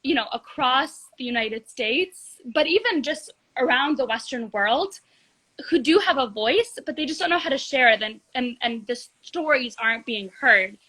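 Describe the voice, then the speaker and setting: Bright, high-voiced teenage girl. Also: expressive, normal speed, poor audio. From Egypt. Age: teens. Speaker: guest, youth activist. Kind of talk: live video interview. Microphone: video call.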